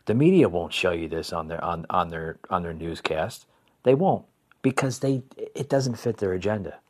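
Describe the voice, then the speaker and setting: An American man from New Jersey hosting a conservative podcast, giving unscripted, opinionated commentary in a halting, repetitive way and addressing listeners as folks. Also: sits low, expressive, a little mellow. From New Jersey, United States.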